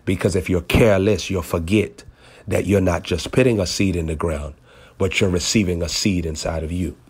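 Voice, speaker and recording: A male preacher with a very low, husky voice delivers prophetic teaching. He speaks deliberately in short maxims. Key phrases come back a second time for emphasis. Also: steady tone, darkish tone. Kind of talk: prophetic teaching over a phone call.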